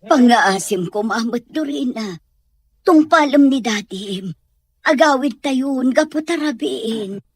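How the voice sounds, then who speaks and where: A woman's voice: sits high, expressive, takes it slowly, long pauses, slightly rough, dry recording, full character voice. A woman playing a meek young household helper in a radio-style drama, deferential and pleading.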